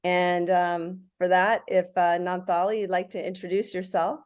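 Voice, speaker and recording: A woman's voice: lowish voice, flat delivery, moderate pace, smooth. A middle-aged woman hosting and moderating a panel with a formal, welcoming script.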